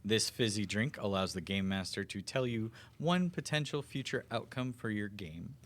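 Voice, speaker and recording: A man's voice: lowish voice, animated, moderate pace, fairly bright tone. A man playing a tabletop game, chatting casually and reading out item descriptions.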